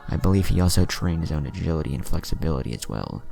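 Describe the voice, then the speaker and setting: A man's voice: very deep voice, sing-song, fast, creaky, music in the background, voice pushed up, heavily acted. A man voicing an older, roguish ninja mentor, teasing and fatherly, in a narrated anime story. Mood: explaining. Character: older male ninja mentor, roguish, proud, jovial godfather.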